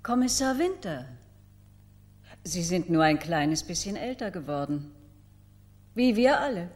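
A woman's voice: lowish voice, animated, unhurried, a little mellow. An actress playing a haughty, formal lady of a grand house in a radio crime drama, pointed and commanding. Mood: cool, pointed.

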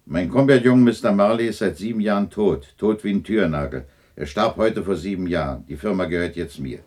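An actor playing a miserly, grumpy old businessman in a German 1960s radio play, gruff, sneering and impatient. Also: deep voice, fairly quick, darkish tone. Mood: scornful.

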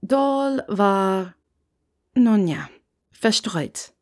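A woman narrates a piece of fiction from a script. Her voice sits at an average pitch for a woman, in the style of an audiobook. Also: animated, extremely slow.

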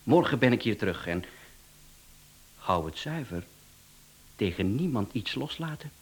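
A professional male hitman in a radio drama. His voice is a little husky and swings widely in pitch. He is businesslike and formal, proud of his trade and quick to take offence. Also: very animated, full character voice. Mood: businesslike, insistent. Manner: formal, smooth-talking salesman patter, indignant. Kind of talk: radio play acting.

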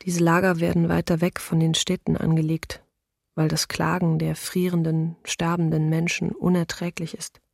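Flat, creaky, grave woman diarist. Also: low-pitched, normal speed, no echo, clean studio sound. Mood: grave, restrained. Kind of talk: documentary radio play, scripted testimony.

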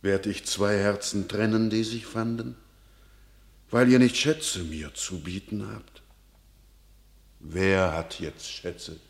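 A man's voice: on the low side, slow pace, creaky, pauses often. An actor playing an old, pious peasant father, brooding and anxious, declaiming classical verse solemnly in a radio drama. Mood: benevolent, resolute.

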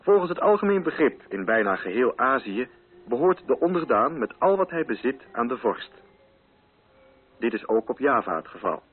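A man reading literary narration for a radio play in a measured, formal and carefully articulated way. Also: medium pitch, breathy edge, narrow, tinny audio.

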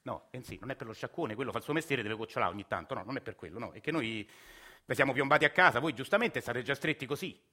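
A man, narrating and acting his own story in a radio theatre monologue, soft-spoken and hesitant. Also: mid-range voice, lively intonation, fast talker, husky. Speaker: narrator and lead. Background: music.

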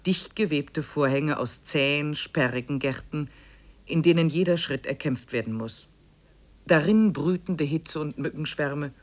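An actress playing a weary trekker who narrates her expedition diary in measured, literary phrases for a 1950s radio play. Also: low-pitched. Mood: sober, strained.

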